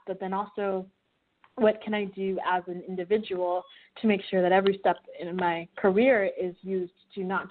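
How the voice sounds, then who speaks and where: A young woman from the Midwest speaks as a guest, thoughtful and searching, with plenty of ums. Her voice sits low and stays fairly level. It comes through a thin, phone-like line. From Midwestern US, Wichita, Kansas. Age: young adult. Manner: reflective, many fillers and restarts. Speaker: guest, filmmaker and student. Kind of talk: interview over a call.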